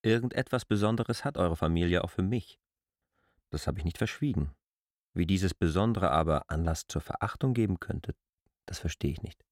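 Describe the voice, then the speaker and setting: A man playing a stubborn, logical outsider who questions things in a probing, deliberate way in an understated radio drama. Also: low-pitched, expressive, no room sound, studio quality. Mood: puzzled, indignant.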